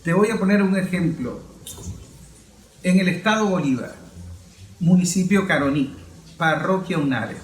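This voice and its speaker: A man's voice: sits high, unhurried, pauses often. A man giving didactic, emphatic political commentary, addressing the listener directly. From Venezuela. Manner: didactic, emphatic, rhetorical questions. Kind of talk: explanatory political commentary to camera. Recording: roomy echo, faint background noise.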